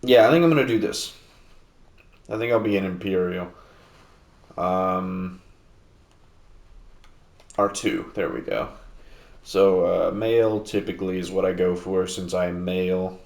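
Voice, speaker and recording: A man casually commenting on a game he is playing, rambling with lots of fillers, speaking a little louder than usual because he sits away from the mic in a house. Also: sits low, measured pace, long pauses, echoey room. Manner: rambling, relaxed, many fillers and restarts; talking louder than normal to make up for mic distance. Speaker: gameplay video host. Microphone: sitting at a distance from the mic.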